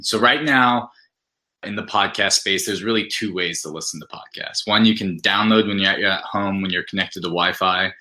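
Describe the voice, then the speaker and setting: A man's voice: low-pitched, sharp tone. A man speaking as an interview guest, explaining his product in an enthusiastic, conversational way and laying out points one by one.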